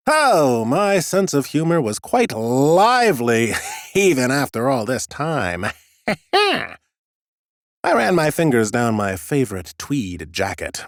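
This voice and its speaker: A man narrating an audiobook in the first person as an ancient undead scholar, a fussy, smug, whimsical gentleman with a theatrical, drily humorous delivery. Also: medium pitch, dry recording, studio quality. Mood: gleefully amused, then self-satisfied.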